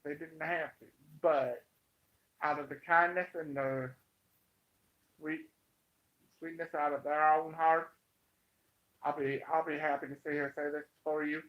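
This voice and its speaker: An American man giving an informal, opinionated monologue to viewers, emphatic and halting, repeating himself to drive points home. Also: fairly high pitch, flat delivery. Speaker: online commentator. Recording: dull, muffled lo-fi sound.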